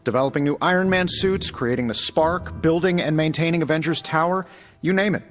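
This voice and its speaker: An actor playing a glib, wisecracking billionaire inventor superhero in a video game, breezy and self-assured. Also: male voice, fairly high pitch, a little fast, close to an everyday voice. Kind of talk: video game cutscene acting. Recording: reverberant hall, faint music behind.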